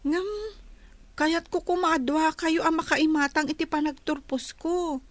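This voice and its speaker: A woman's voice: sits high, steady tone, measured pace, no room sound. A woman playing a wistful, respectful teenage schoolgirl with a Filipino accent, pleading in a radio drama. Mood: hurt, pleading. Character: wistful teenage schoolgirl longing for united parents.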